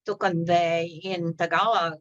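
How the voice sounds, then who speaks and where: Slow, hesitant woman host on a video call. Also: on the low side, flat delivery, no echo, lo-fi sound. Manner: hesitant, many fillers and restarts while forming questions. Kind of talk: interview over video call. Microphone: video call webcam.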